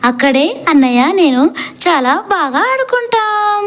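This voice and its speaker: Woman acting a very high eager village child. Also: big pitch swings, unhurried, fairly bright tone, full character voice. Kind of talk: scripted cartoon story dialogue.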